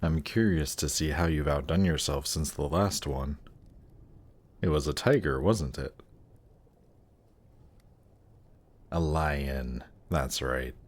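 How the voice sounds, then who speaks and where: A man's voice: extremely low pitch, measured pace. A man playing a suave, haughty demon prince in a fantasy audio drama, speaking in a polished, courtly and condescending manner. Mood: dryly curious.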